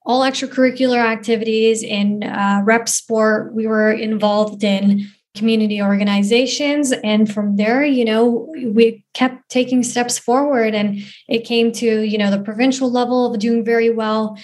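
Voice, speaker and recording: A woman's voice: fairly high pitch, steady tone, normal speed, darkish tone. A woman, giving earnest, thoughtful answers as an interview guest, often saying "you know" and "um". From Canada. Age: 20s. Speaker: guest, footballer and activist.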